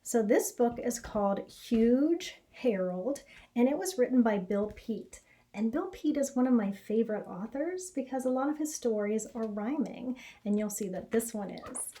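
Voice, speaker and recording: An American woman in her forties reading a children's picture book aloud with expressive storytelling. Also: fairly high pitch, normal speed. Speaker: elementary school educator reading aloud. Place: small indoor classroom or office.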